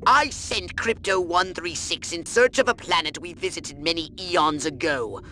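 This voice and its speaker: A man's voice: on the high side, measured pace, pushed and bright, slight background noise. A man voicing a haughty, exasperated alien scientist in a video game, with a pompous, condescending, theatrical delivery. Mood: grave. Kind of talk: video game cutscene acting. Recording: music behind.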